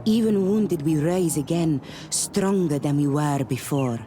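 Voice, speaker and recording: An actress playing a playful, cryptic native clan woman in a video game, proud and teasing, with measured, stilted phrasing. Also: low-pitched, mellow, a little hiss or hum. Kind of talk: video game character acting. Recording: music under the dialogue.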